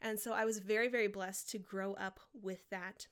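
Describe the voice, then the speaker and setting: A woman's voice: mid-range voice, fairly even intonation, moderate pace, a bit bright, smooth. An American woman hosting a solo podcast, speaking straight to her listeners with enthusiasm and encouragement as she tells personal stories. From Washington state, United States. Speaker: podcast host and marketing coach.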